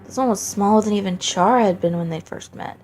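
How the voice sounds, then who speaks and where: A woman's voice: medium pitch, fast talker, darkish tone. A woman narrating a dramatic story from a script as a fan fiction reader.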